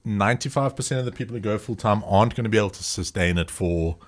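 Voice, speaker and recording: Low-voiced man in his thirties, rambling. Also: fast. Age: 30s. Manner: rambling, animated, acts out little scenes. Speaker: podcast co-host. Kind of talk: casual chat.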